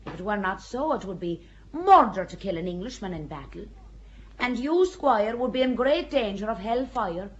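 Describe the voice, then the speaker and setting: An actress playing an earnest young peasant girl who speaks plainly and with calm, quiet defiance in a radio drama. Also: mid-range voice, normal speed, lo-fi sound, lightly acted. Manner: plain-spoken, calmly certain, quietly defiant.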